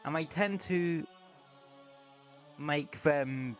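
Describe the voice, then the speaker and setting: A man's voice: a little high, drawn-out words, soft background music. A man streaming a game, talking casually in rambling, sweary asides at home. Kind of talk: casual gameplay commentary. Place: home room with window open.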